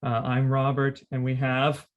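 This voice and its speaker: A man's voice: medium pitch, monotone, normal speed, dull audio. A man hosting a casual, chatty podcast, enthusiastic and inclined to repeat his phrases. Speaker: podcast host.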